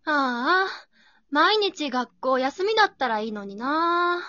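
Woman acting bewildered high-pitched schoolgirl, bright and slow. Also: very animated, dry recording, dull audio, exaggerated voice. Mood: groggy, confused. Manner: exclamatory, thinking aloud to herself. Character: ordinary high school girl, anxious and bewildered. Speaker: amateur radio drama performer. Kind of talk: amateur radio drama acting.

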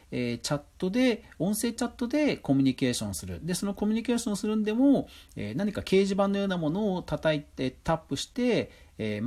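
A man's voice: on the high side, darkish tone, breathy edge. A man hosting a podcast, running through news items and adding his own conversational commentary. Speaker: podcast host. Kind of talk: news roundup commentary, semi-scripted.

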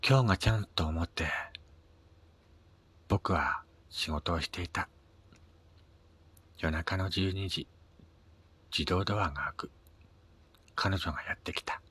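A man with a husky voice narrating a scripted first-person radio drama, his phrase endings trailing into a breathy near-whisper. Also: low voice, unhurried, pauses often, a bit bright. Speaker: reader of own short story.

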